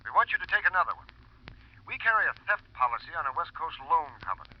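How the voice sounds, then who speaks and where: An actor playing a brisk, worried insurance company man, husky and heard through a telephone filter in a 1950s radio drama. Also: a little high, sing-song. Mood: urgent, businesslike. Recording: muffled phone-filtered old radio recording.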